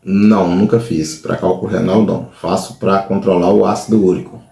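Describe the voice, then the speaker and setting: A man's voice: low-pitched, steady tone, brisk, vocal fry, mellow, a little hiss or hum. A man in his forties with a Brazilian Portuguese accent, giving brief, plain answers about his own health in an interview. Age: 40s. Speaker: interviewee, sales representative. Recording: echoey room.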